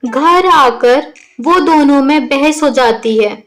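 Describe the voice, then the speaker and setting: High-voiced, unhurried woman storyteller. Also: faint music behind. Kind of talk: scripted children's story narration.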